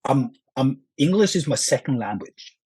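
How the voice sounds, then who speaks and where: A man with a Norwegian accent, speaking English as a second language, answering interview questions in a halting way full of restarts and fillers. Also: medium pitch, lively intonation, a little mellow, breathy edge, no room sound. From Norway. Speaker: actor, guest. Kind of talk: casual interview.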